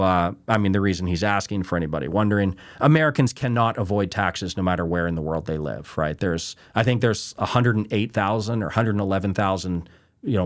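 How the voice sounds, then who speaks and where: A man with a fairly low voice talks through trading ideas in a loose, unscripted way. He stresses his points hard and keeps checking in with his listeners. He grew up in Canada. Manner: conversational, emphatic, lots of "right?" and "you know". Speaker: trading show host answering viewer questions. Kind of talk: casual unscripted explainer.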